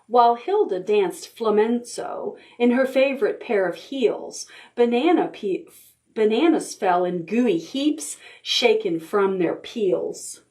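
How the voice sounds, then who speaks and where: A woman leading a preschool storytime as a children's librarian, reading a picture book aloud in an expressive, rhythmic way with drawn-out words. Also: a little high.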